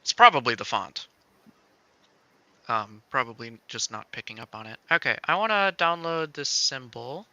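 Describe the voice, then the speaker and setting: A man's voice: mid-range voice, normal speed, pushed and bright, no echo, muffled recording. A man chatting casually as he co-hosts a demo livestream as a game developer.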